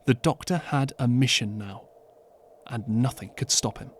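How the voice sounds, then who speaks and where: A man narrating an audiobook as scripted storytelling, measured and earnest, with dramatic pauses. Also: medium pitch, a little hiss or hum. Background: clear background music.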